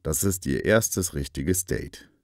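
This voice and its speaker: A man with a very deep voice narrates a scripted lesson for language learners. His intonation moves widely up and down as he explains and tells example stories. The recording is dry. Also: sing-song, takes it slowly, vocal fry, darkish tone, no room sound.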